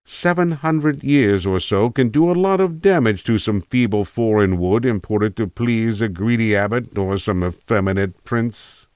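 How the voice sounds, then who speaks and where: A man's voice: fairly low pitch, a little mellow, dry recording, clean studio sound, close to an everyday voice. A man voicing an eccentric, opinionated old tramp telling a rambling tale in an emphatic, self-assured way, as an audiobook character. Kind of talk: acted audiobook character monologue.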